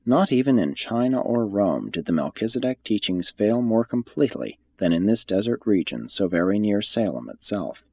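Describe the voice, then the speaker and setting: Low, slightly husky male audiobook narrator. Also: very animated, normal speed, hardly any pauses, mellow, dry recording, muffled recording. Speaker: reader of a religious text.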